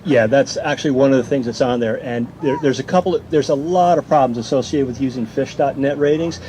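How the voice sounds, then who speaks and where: A man's voice: mid-range voice, brisk, dark tone. A man on a panel answering an audience question informally, off the cuff, as a statistician. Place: outdoors at a campground. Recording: outdoor event with faint noise. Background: faint outdoor ambient noise.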